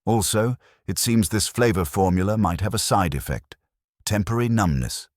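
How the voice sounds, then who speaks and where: A man's voice: lowish voice, moderate pace, dry recording, studio quality, pitched above the performer's own voice, close to an everyday voice. A man voicing an imperious older male detective who speaks in terse, clipped commands. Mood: curt, disdainful.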